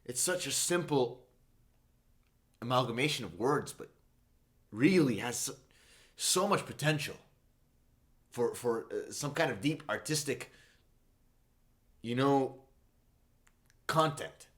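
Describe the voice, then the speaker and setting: A man's voice: mid-range voice, expressive, slow pace, pauses often, fairly bright tone. A young man from Saudi Arabia, a native Arabic speaker, casually explaining the meaning of his song in a meandering, self-correcting way with many fillers.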